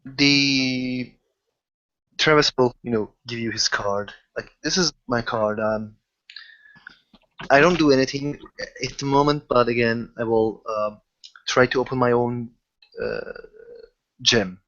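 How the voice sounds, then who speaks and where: A man who haltingly searches for words as he improvises in a casual tabletop roleplaying session. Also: mid-range voice, fairly bright tone, muffled recording. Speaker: game master or player in a tabletop roleplaying session.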